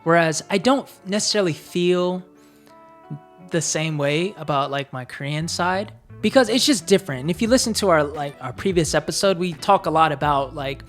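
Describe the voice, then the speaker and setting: Low-pitched American woman, thoughtful co-host, circling through ideas with lots of "you know" and "like". Her voice sits very deep for a woman. Soft music plays faintly underneath. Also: normal speed. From United States. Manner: reflective, talks in long searching sentences with many fillers. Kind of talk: casual chat.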